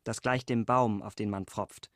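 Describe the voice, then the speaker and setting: An actor playing an earnest, idealistic older brother, speaking solemnly and in measured phrases in a radio play. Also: male voice, on the low side, steady tone, a bit bright, no room sound, studio quality. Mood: solemn conviction. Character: earnest idealistic older brother, young village resistance man.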